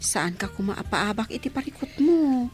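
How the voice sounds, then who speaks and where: A woman's voice: on the high side, animated, moderate pace, over music, natural voice. A woman acting a chatty, concerned waitress friend in a radio drama, with an encouraging manner.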